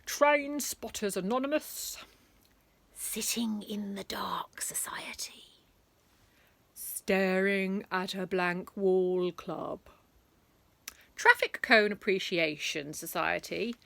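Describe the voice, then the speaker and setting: A woman reading a bedtime story aloud to children, with lively, dramatic emphasis and chatty asides. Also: medium pitch, slow pace, creaky, dry recording.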